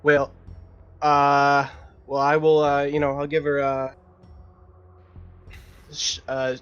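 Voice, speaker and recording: A man's voice: on the high side, monotone, a bit bright, clean tone, soft background music. Hesitant male tabletop player, slightly muffled. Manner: hesitant, halting. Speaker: player in tabletop roleplaying game. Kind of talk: improvised in-character roleplay.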